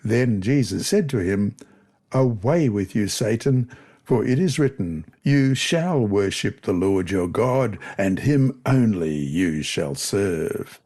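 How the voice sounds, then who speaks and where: A man reads a scripted devotional lesson and Bible verses aloud in a measured, expressive way. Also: lowish voice. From Australia.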